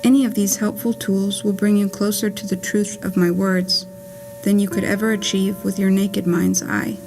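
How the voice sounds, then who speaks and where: A woman reading a scripted poetic monologue for a puppet play as a wry, whimsical letter writer from beyond the grave, in a measured, deliberate way. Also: mid-range voice, little pitch movement, darkish tone, slight background noise, natural voice. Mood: wry, wistful. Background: music.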